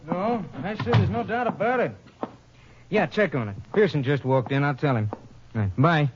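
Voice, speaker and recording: An American man playing a brisk, businesslike police lab technician in a 1950s radio drama. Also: on the high side, slight background noise, natural voice. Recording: muffled old radio broadcast.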